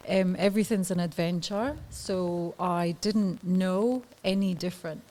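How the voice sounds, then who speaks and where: A woman's voice: lowish voice, steady tone, a little slow, dark tone. A woman in her thirties, a podcast guest talking candidly and hesitantly, with frequent ums and laughs. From Scotland. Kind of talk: podcast interview.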